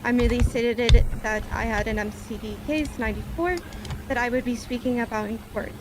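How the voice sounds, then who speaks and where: A woman's voice: a little high, steady tone, a little fast, background noise. A woman acting as a witness in a roleplay court, speaking formally and precisely.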